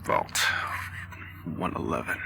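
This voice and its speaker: A man voicing a grieving father in his thirties, a weary wasteland survivor, in a halting, dramatic roleplay monologue. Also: fairly bright tone, slight background noise. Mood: weary, subdued. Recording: background music under the voice.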